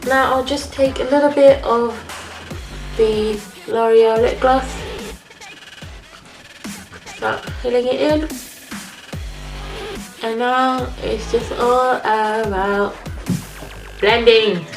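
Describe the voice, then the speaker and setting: A woman with a slightly high voice chats her way through a makeup routine, sounding nasal and stuffed up from a cold. Her talk is loose and friendly as she works. The room is echoey and music plays clearly behind her. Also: steady tone, slow, long pauses. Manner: chatty, talks while applying makeup. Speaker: beauty vlogger. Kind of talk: casual makeup tutorial.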